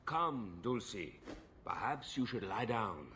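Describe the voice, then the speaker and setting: A man's voice: fairly low pitch, expressive, slow, sharp tone, a little hiss or hum, heavily acted. An actor playing an anxious, exasperated middle-aged nobleman and father in a video game, formal and earnest. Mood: weary, apologetic.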